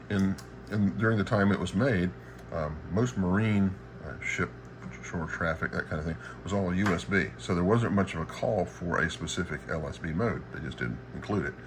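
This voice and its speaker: Very deep-voiced man, halting delivery. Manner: halting, searching for words. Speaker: radio hobbyist presenter. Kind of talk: informal equipment walkthrough. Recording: clearly noisy amateur recording.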